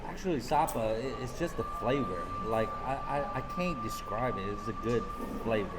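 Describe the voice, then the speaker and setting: A man's voice: medium pitch, lively intonation, moderate pace, long reverb tail, very noisy. A man in his forties, born in Vietnam, answering a vlogger's questions in easy, short replies inside a restaurant. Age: 40s. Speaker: guest. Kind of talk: casual interview. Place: busy restaurant. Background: diners chatting.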